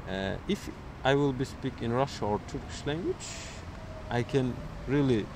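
A man being interviewed outdoors, speaking haltingly with many fillers as he searches for words. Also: medium pitch, lively intonation. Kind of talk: casual street interview. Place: outdoors on a city street. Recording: outdoor street noise with traffic.

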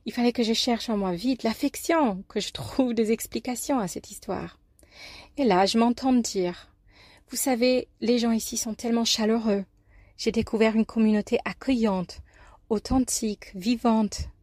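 A woman with an American accent and a fairly high voice delivers a personal radio column. She tells her story in an expressive, deliberate way. The sound is dry and close. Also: no echo. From United States, New York. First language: English. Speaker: life coach presenting a radio column. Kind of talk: scripted personal talk, storytelling.

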